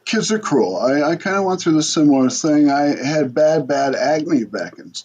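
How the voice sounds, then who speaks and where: A man hosting an interview, chatting in a relaxed and encouraging way. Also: fairly high pitch, normal speed, muffled recording. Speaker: interviewer host. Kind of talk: casual interview chat.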